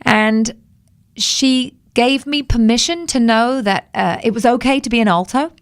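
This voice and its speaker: A woman's voice: a little high, a little slow, dry recording, clean studio sound. A woman singer being interviewed on the radio, reflective and telling anecdotes in a conversational way. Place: radio studio.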